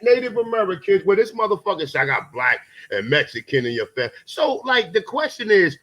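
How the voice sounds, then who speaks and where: A man's voice: high voice, sing-song, quick pace, a bit bright. A man co-hosting a stream, ranting angrily and swearing heavily. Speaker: co-host. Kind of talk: heated profane commentary.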